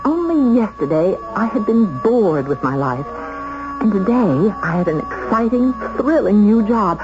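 An actress playing a prim, earnest young secretary in a 1970s radio drama, polite, hesitant and easily indignant. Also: female voice, mid-range voice, very animated, unhurried, music in the background. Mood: thrilled. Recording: muffled 1970s radio broadcast, some hiss.

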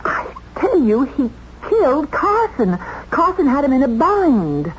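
A woman playing a flustered young secretary under suspicion in a 1970s radio drama, halting and emphatic as she protests. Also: high voice, sing-song. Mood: accusing, insistent. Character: flustered young female secretary accused of murder. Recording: dull, hissy vintage radio recording.

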